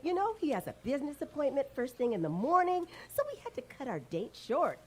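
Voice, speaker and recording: A woman's voice: high-pitched, big pitch swings, brisk, darkish tone. A woman playing a cheery, scatterbrained older lady in a vintage radio sitcom, fluttery, vague and chatty.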